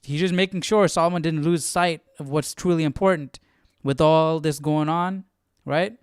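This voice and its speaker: A man hosting a Bible study livestream, explaining casually in a conversational, halting way. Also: fairly high pitch, steady tone, clear, resonant tone, no echo, clean studio sound.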